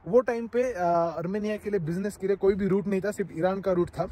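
A man's voice: high voice, fairly even intonation, fast talker, mellow. A man in his thirties chatting casually to a phone camera held at arm's length, explaining the sights around him with plenty of fillers. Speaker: travel vlogger. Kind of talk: casual travel vlog narration. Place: outdoors by a lake, then an underground cave museum.

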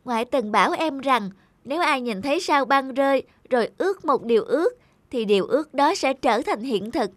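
A woman's voice: sits high, lively intonation, normal speed, dry recording. A woman voicing a small, affectionate little sister in a children's cartoon, with a childlike, pleading manner. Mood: eager, chatty. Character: small injured little girl, sweet and affectionate younger sister.

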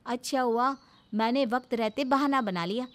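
A woman's voice: a little high, moderate pace, voice pushed up, natural voice. A woman voicing a scheming, self-centred young daughter-in-law in a cartoon story, with exaggerated dramatic delivery. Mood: smug.

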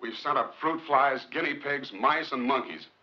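An actor playing a brooding, determined scientist in a 1950s film drama, with clipped, earnest, sardonic delivery. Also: male voice, mid-range voice, moderate pace, lightly acted. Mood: defiant, determined. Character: brooding, determined male rocket scientist accused of murder. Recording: muffled 1950s film soundtrack.

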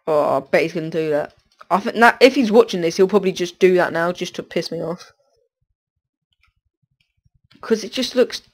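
A teenage boy with a stuffy cold, rambling through casual gameplay commentary and often breaking off mid-sentence. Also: high-pitched. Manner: rambling, self-interrupting, distracted. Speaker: gaming commentator.

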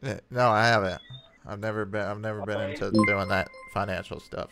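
A man's voice: lowish voice, level tone, a little fast, music in the background. A man baiting a phone scammer, talking hesitantly and stammering as he plays a naive caller. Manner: hesitant, stammering, playing dumb. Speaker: scam baiter streamer.

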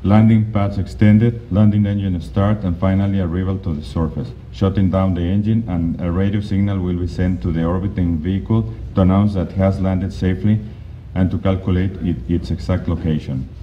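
A man giving a conference slide presentation, speaking haltingly with frequent restarts and fillers. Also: deep voice, steady tone. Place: conference hall. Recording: dull, lo-fi recording with faint noise.

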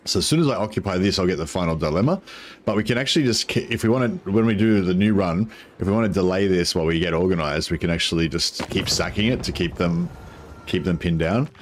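A deep-voiced man, his voice a bit croaky, streams a strategy game from a home room over a headset mic. He rambles casually, full of ums and restarts, with a faint hum behind him. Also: fast. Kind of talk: casual gameplay commentary.

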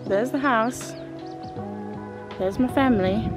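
A young woman chatting casually and excitably as she films a travel vlog on a handheld camera. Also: on the high side, slow pace, loud music behind the voice. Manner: excitable, informal. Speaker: vlogger.

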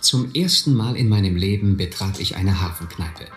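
A reflective man narrates his youth in first person, low-pitched and wry, as in a radio drama. The sound is roomy, with faint music underneath. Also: expressive, moderate pace.

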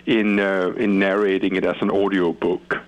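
A man from Denmark with a Danish accent, answering interview questions as a guest author in a polite, unhurried way. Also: sits low, level tone, lo-fi sound.

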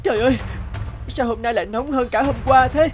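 A man's voice: very high-pitched, a little fast, soft background music. A man voicing a caring, hardworking orphan boy in a cartoon, an earnest and tender big brother. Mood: worried.

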